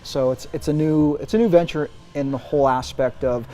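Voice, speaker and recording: A man in his forties talking business in an interview, with a voice pitched a little above most men. He speaks emphatically and in an animated way, often restarting his sentences as he works toward his point. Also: fairly quick. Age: 40s. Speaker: interview guest, brand executive. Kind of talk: casual interview answers.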